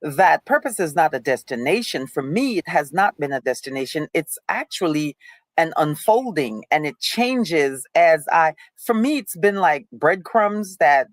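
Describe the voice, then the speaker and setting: A woman's voice: sits low, animated, unhurried, dry recording. A middle-aged woman hosting a panel discussion, reflecting aloud and addressing the audience. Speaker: panel host.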